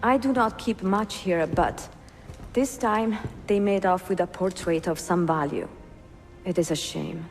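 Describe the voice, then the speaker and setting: An actress playing a bookish, spirited young Italian woman bookseller, friendly and expressive, with an Italian accent, in a dramatic game cutscene. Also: lowish voice, measured pace, faint music behind. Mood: resigned, practical.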